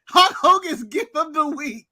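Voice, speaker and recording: A man's voice: very high voice, measured pace, dry recording. A man co-hosting a podcast, joking and swearing in casual banter. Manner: profane, joking, animated. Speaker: podcast co-host.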